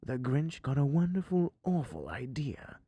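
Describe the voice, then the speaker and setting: A man narrating a rhyming storybook theatrically, sometimes dropping into a hush. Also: medium pitch, sing-song, unhurried, slightly rough, no room sound, muffled recording. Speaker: story reader.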